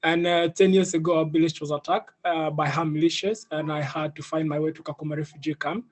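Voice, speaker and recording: A man's voice: fairly high pitch, little pitch movement, brisk. A young man with an East African accent giving an earnest personal presentation on an online panel, often adding "you know". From Ethiopia, of South Sudanese family. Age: young adult. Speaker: youth leader, panel speaker. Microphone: video call.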